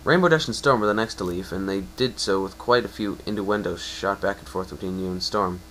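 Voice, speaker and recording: Low-voiced man narrating a story. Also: a little fast, fairly bright tone, a little hiss or hum, low-quality recording. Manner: stumbles and rereads phrases. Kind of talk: dramatic reading of fan fiction, second-person narration.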